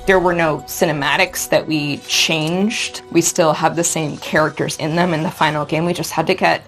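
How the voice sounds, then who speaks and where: A woman's voice: low-pitched, fairly even intonation, normal speed, poor audio. A woman talking as a game developer in a promotional interview, with music behind her.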